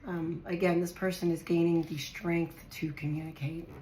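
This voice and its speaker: A woman with a hoarse, raspy voice, lower than most, chatting as she lays out tarot cards for her viewers. She thinks aloud as she draws each card. The room sounds echoey, with a faint hiss behind her. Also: little pitch movement, normal speed, dark tone. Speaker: tarot reader. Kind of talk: casual tarot reading to viewers.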